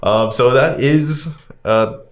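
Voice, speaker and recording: A man's voice: mid-range voice, slow pace. A man hosting a podcast, chatting casually about movies in a rambling, conversational way. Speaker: podcast host.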